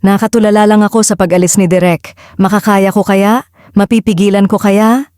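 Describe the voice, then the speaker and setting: Woman, scripted drama narrator, first-person storytelling, mid pitch, very dry sound. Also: steady tone, moderate pace, darkish tone, no echo. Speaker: narrator and lead voice actor.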